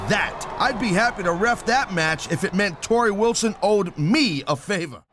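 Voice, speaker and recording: An actor playing an opinionated, teasing, wisecracking colour commentator in a wrestling video game. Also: male voice, sits high, animated, normal speed. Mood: amused. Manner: wisecracking, colloquial. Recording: loud music and crowd behind.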